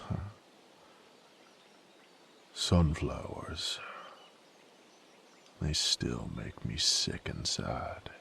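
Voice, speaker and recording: A male actor playing a brooding, rough knight with a violent past in an audio roleplay, speaking wearily in folksy, rough diction. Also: slight background noise, heavily acted. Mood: regretful, quiet. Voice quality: very low, gravelly. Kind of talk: dramatic character monologue, audio roleplay.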